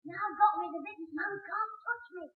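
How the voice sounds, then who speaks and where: A man's voice: very high voice, brisk. A man playing a cheeky, scheming schoolboy in a 1960s radio sitcom, blustering and stammering when cornered, on a muffled old recording. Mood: sly. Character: cheeky scheming schoolboy, fibbing, quick to wriggle out.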